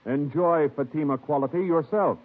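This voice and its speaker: A man's voice: fairly high pitch, moderate pace. A man with an American accent doing formal, declarative announcing for 1950s radio programs and advertisements. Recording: tinny vintage radio recording.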